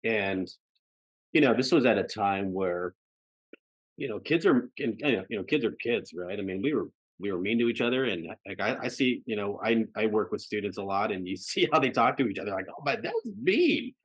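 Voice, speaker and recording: Low-voiced American man, dry close sound. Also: expressive, brisk, no room sound, poor audio. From United States. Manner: frequently ends phrases seeking agreement with "right" and "you know". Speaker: podcast guest. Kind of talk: reflective personal conversation.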